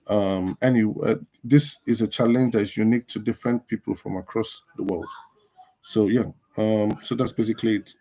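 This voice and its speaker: A Nigerian man whose first language is Igbo, hosting a casual live stream and chatting with viewers, unhurried with many fillers. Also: lowish voice, dark tone, low-quality recording.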